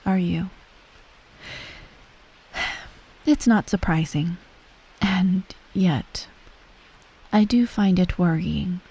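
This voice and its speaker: A woman playing a menacing, coolly doting empress mother in soft, breathy ASMR roleplay, measured and formal, often near a whisper. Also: on the low side, full character voice, rough recording. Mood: quietly menacing. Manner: measured, formal, veiled threats, breathy sighs.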